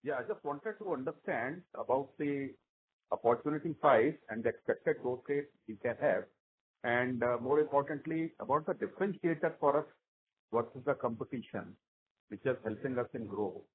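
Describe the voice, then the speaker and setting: A man's voice: mid-range voice, expressive, moderate pace, a little hiss or hum. A man with an Indian accent asking questions on a business call in hesitant, piecemeal phrases. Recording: muffled phone-like conference line.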